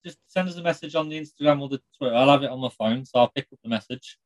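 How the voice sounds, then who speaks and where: A man's voice: medium pitch, very fast. A British man co-hosting a podcast with casual banter. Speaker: podcast co-host.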